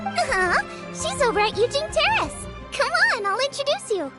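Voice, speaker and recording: Chirpy teen girl apprentice, high and lively, eager, game voice with music. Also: normal speed. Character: perky, eager, friendly teenage girl apprentice. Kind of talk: video game voice acting.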